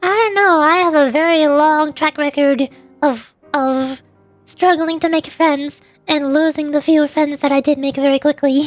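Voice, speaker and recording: A woman chatting casually on a game livestream in a hesitant, halting, self-deprecating way. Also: high voice, fairly even intonation, dry recording, faint music behind. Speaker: livestreamer, VTuber voice actor. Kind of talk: casual livestream chat while playing a game.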